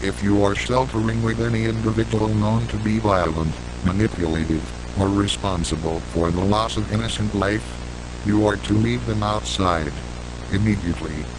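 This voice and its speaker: A man's voice: fairly low pitch, steady tone, moderate pace. A man delivering a scripted emergency broadcast announcement in a stern, formal, authoritative way. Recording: noisy hum and hiss, retro broadcast.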